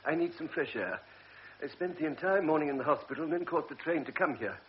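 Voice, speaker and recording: A man's voice: a little high, animated, fast, muffled recording, a little hiss or hum, natural voice. A man playing a blunt, cynical middle-aged doctor in a radio drama, talking in a brusque, lecturing, world-weary way.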